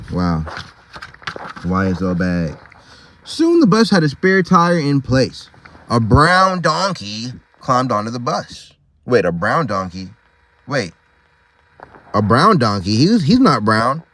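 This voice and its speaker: Animated man reading a storybook aloud. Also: mid-range voice, takes it slowly, pauses often. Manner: slangy, informal, easily sidetracked. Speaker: storybook reader. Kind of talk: read-aloud storytelling with casual asides.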